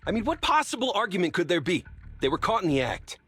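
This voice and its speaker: A man playing an indignant, accusing delivery truck driver in a video game, with a husky voice. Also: sits high, sing-song, quick pace, a bit bright, soft background music, exaggerated voice. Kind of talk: video game character acting.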